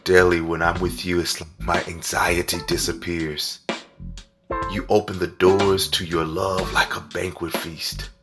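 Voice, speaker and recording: An actor playing a smitten, stressed senator in an audio drama, with a husky voice. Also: male voice, deep voice, level tone, measured pace, music in the background. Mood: smitten, earnest.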